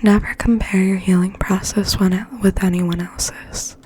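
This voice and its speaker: Woman doing whispered asmr poetry, very even tone, soft breathy and slow, bit of background noise. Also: mid-range voice. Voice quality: breathy, mostly unvoiced whisper. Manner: slow, gentle, hushed. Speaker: ASMR host and poetry reader.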